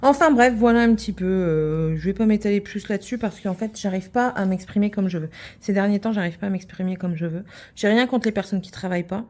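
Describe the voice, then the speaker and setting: A woman's voice: medium pitch, fairly quick, darkish tone. A middle-aged woman from France, hosting a craft channel and chatting casually, rambling with many fillers and restarts.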